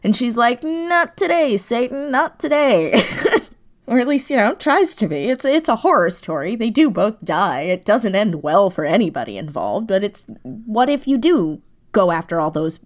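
A woman chatting casually as an interview guest, effusive and giggly, talking in halting bursts. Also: a little high, very animated. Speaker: interview guest, audio drama creator.

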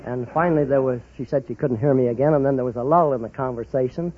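Middle-aged man, husky voice, plain-spoken contestant, dull old radio sound. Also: mid-range voice, quick pace. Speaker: quiz show contestant. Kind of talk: casual interview answers. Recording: muffled old radio recording.

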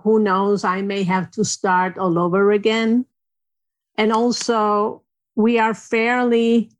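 Slow-speaking older woman from Lebanon. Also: medium pitch. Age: 60s. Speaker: interview guest. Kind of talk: reflective personal storytelling.

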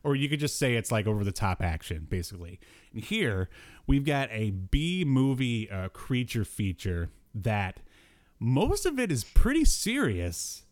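A man's voice: mid-range voice, very animated, unhurried. A man co-hosting a podcast, chatting casually about cartoons in a rambling way with bits of slangy talk. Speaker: podcast co-host.

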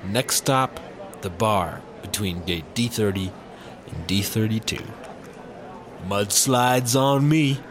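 A man's voice: lowish voice, slow, background noise. A man playing a breezy, dim space cop in a sci-fi comedy audio drama, casual with a touch of melodrama. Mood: carefree. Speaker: voice actor.

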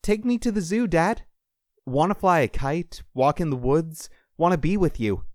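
A man's voice: fairly high pitch, lively intonation, moderate pace, no room sound, clean studio sound, voice pushed up, natural voice. A man voicing an eager, loving five-year-old boy with simple, childlike pleading, in a story. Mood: pleading, fading.

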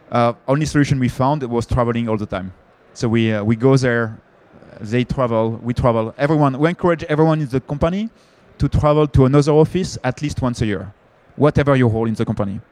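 French-accented male panelist, halting delivery with frequent restarts. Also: mid-range voice, darkish tone. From France. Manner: hesitant, many fillers and restarts. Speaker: panelist, company founder. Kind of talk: conference panel discussion.

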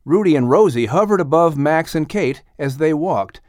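A man's voice: on the high side, lively intonation, normal speed, a little mellow, no room sound, studio quality. A man reading scripted narration as an audiobook storyteller and announcer.

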